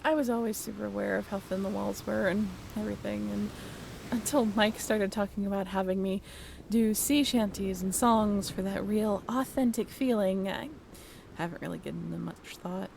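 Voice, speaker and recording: A woman acting as a friendly young woman in a romantic audio roleplay, easily flustered and quick to apologise. Her voice sits at an average female pitch. She speaks naturally and hesitantly, with a bashful, giggly streak, over faint background ambience. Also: creaky, darkish tone, a little hiss or hum, close to an everyday voice. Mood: shy, warm. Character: friendly, flustered young woman, former college roommate and singing mermaid performer.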